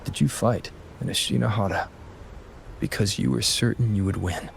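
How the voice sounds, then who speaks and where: Gravelly, resolute male game hero. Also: on the low side, moderate pace, a little hiss or hum. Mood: earnest. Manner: earnest, understated, reflective. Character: calm, resolute young male wandering hero. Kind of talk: scripted video game voice acting.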